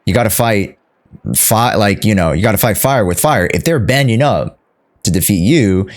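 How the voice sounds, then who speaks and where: A man's voice: lowish voice, animated, normal speed. A man streaming a game playthrough, casually reading text aloud and commenting on it as he goes. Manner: casual, reactive, echoes lines.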